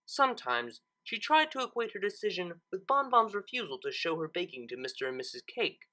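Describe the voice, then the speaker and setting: A woman's voice: fairly low pitch, big pitch swings, fairly quick, sharp tone. A woman narrating a story expressively, as a reader of fan fiction.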